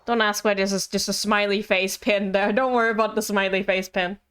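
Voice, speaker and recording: A woman's voice: medium pitch, flat delivery, brisk, fairly bright tone, clear, resonant tone. A woman doing casual live game stream commentary, playful and rambling with mock-indignant asides. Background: game music.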